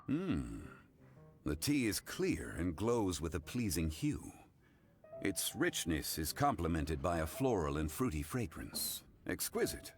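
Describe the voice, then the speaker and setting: An actor playing a composed, courteous, scholarly gentleman in a video game, speaking in a measured, formal, instructive way. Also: low voice, big pitch swings, faint music behind, exaggerated voice. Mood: serene appreciation. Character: composed, courteous, scholarly old-fashioned gentleman of refined taste.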